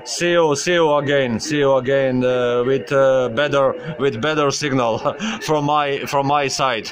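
A man making a friendly amateur radio contact, halting and repeating his words. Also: medium pitch. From Croatia.

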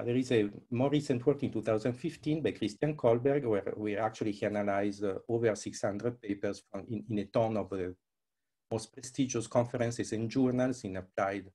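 Male academic presenter. Soft-edged, slightly raspy tone, explains conversationally on a video call. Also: lowish voice. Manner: conversational, explanatory, with rhetorical questions. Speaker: presenter, researcher.

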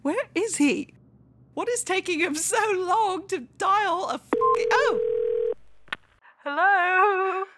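A woman voicing a giddy, easily exasperated young heroine in an animated parody, halting and then gushing. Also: extremely high pitch, slight background noise, full character voice. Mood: excited.

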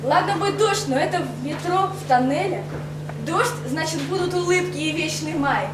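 Young woman poet, very high voice, lilting recitation, echoey club room, distant mic. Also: moderate pace, over loud music. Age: young adult. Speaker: poet reading her own poems. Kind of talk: poetry recitation. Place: stage of a rock cafe. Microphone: far camera mic, no handheld mic.

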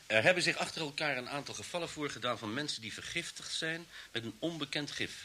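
Forceful, formal police inspector. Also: male voice, a little high, quick pace, a little hiss or hum. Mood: courteous, businesslike. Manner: formal, matter-of-fact. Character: courteous, businesslike male police inspector. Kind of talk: Dutch radio play acting.

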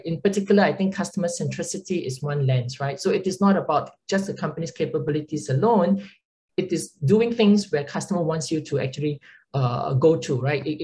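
A woman's voice: sits low, dark tone, a little hiss or hum, poor audio. A woman with a Singaporean accent, a consulting partner presenting on a video call webinar, chatty with frequent filler words and tag questions. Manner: conversational, frequent "right?" tags and um fillers.

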